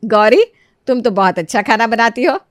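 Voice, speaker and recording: A woman voicing a kindly, motherly middle-aged lady who reasons gently in a moral storybook tale. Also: fairly high pitch, lively intonation, moderate pace, no echo, clean studio sound, lightly acted. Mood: welcoming. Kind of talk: animated moral storybook dialogue.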